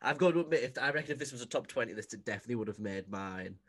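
A man hosting a casual podcast chat, joking and teasing with quick rambling asides. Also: medium pitch, animated, fairly bright tone. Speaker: podcast host.

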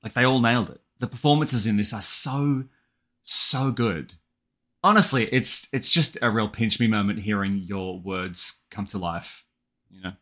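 A man's voice: fairly low pitch, measured pace, bright, forceful tone. An Australian man chatting casually as an interview guest, effusive and colloquial about his writing work. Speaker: guest, audio drama writer.

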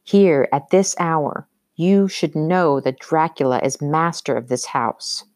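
A woman narrator plays an imperious vampire count from a gothic storybook, master of his house. The voice is low and controlled, commanding and cautioning, in a dry, close recording. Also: slow, darkish tone, no room sound. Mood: angry.

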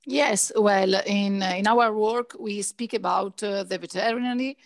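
A woman veterinarian explains her work as an interview guest. She speaks carefully, pausing and restarting now and then. Her voice is at a middle pitch, recorded dry with no room echo. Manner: careful, halting with small restarts.

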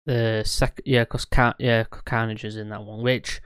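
Smooth-voiced man rambling eagerly. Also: fairly low pitch, flat delivery, moderate pace, a bit bright, dry recording, clean studio sound. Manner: eager, rambling, corrects himself mid-sentence. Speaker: podcast co-host. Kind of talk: casual podcast chat.

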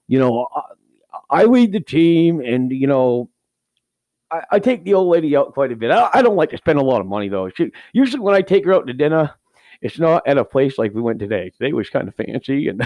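A man's voice: mid-range voice, a little mellow, no echo. A man playing a rambling, cheapskate firefighter who tells crude stories and keeps cracking himself up. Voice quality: crude, giggly, rambling storyteller. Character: rambling, cheapskate middle-aged male firefighter. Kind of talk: improvised character comedy.

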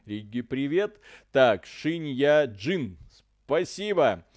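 A man's voice: mid-range voice, big pitch swings, slow, darkish tone, no echo. A man co-hosting a stream, reading viewer messages aloud in a loose, casual way, swearing now and then and trailing off. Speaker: stream co-host. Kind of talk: casual chat reading donations.

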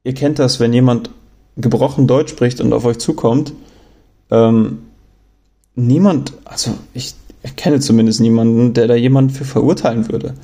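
A young man from Germany talking on his own in a relaxed, rambling way, often pausing with fillers and restarting sentences. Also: mid-range voice. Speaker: podcast host. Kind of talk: casual spoken monologue.

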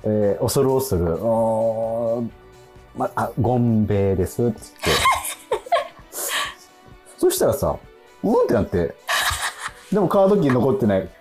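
A man, hosting radio with casual, animated storytelling. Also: on the low side, unhurried, long pauses. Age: 40s. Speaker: radio show host. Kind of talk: casual radio chat with anecdotes. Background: music.

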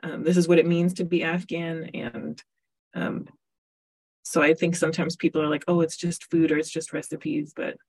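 A woman's voice: low voice, flat delivery, normal speed, husky. A woman hosting a podcast, chatting casually with a guest, with lots of fillers and laughs. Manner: warm chatty, laughs often, many fillers. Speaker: podcast host. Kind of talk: casual interview.

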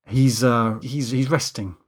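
Guy reading as a stressed film shoot boss, husky, stammers, gets defensive, audiobook style. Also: mid-range voice, fairly even intonation, a little slow, close to an everyday voice. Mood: flustered. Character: James, flustered, anxious man running a chaotic shoot.

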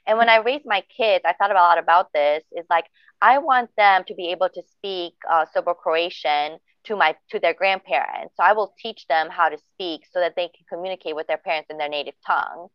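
A woman's voice: fairly low pitch, a little fast, smooth, dry recording. A woman chatting casually and reflectively about growing up between cultures. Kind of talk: casual chat. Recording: dry, dull lo-fi audio.

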